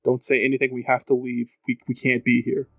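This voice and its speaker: A man's voice: medium pitch, completely flat delivery, fairly quick, no echo, muffled recording. A man playing a tabletop roleplaying game, switching between casual game talk and improvised in-character speech.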